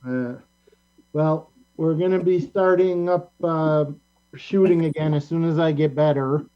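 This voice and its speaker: A man's voice: a little high, steady tone, measured pace, clean tone. A man hosting a casual group chat with his cast, encouraging in manner, over an online call with humming, lo-fi sound. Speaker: show host and director. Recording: dull lo-fi call with mains hum.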